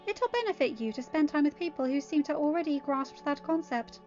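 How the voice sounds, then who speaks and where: A woman reading as a high, officious court lady. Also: expressive, a little fast, a little mellow, dull audio, soft background music. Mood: condescending. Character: condescending, officious young woman of a royal court.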